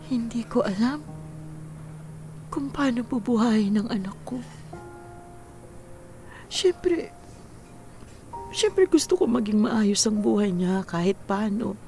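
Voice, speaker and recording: A woman's voice: mid-range voice, dark tone. A woman acting in a Filipino radio drama as an earnest, wistful widowed mother in her forties, weary after working overseas.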